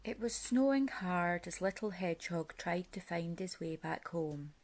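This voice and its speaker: A woman reads a picture book aloud for children. Her voice sits a little low, and she takes her time, shaping each phrase deliberately and expressively as a storyteller. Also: expressive, darkish tone.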